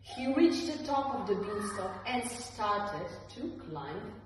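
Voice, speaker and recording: A woman reading a story to young children as an early years teacher, with animated, dramatic emphasis on key words. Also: medium pitch, slow pace. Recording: roomy, echoey, distant mic, faint noise.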